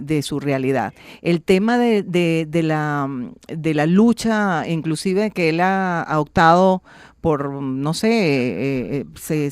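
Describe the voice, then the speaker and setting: Hesitant woman radio interviewer, smooth voice. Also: low-pitched, darkish tone, no room sound. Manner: hesitant, searching for words, many restarts.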